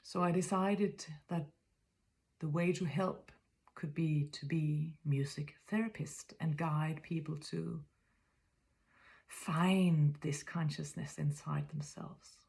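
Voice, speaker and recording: A woman in her fifties from Denmark with a Danish accent, speaking in a reflective spiritual monologue that is soft, often whispery, and halting as she searches for words. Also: deep voice, fairly even intonation, creaky. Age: 50s.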